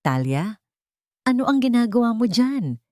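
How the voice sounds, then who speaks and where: Female narrator as weary old female cook, motherly, urging, confiding, slightly raised pitch, audiobook. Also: big pitch swings, unhurried, darkish tone, dry recording, studio quality. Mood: urgent, confiding. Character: tired elderly female cook, hurried, motherly.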